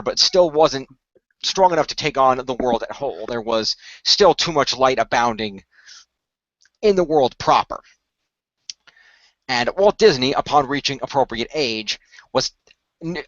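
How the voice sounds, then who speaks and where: A man improvising the part of an earnest company insider, explaining things in a measured, hesitant way as a game master. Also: mid-range voice, fairly bright tone, no echo, muffled recording. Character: earnest, measured company insider revealing a secret magical order. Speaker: game master playing a character. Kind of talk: improvised in-character exposition for a role-play story.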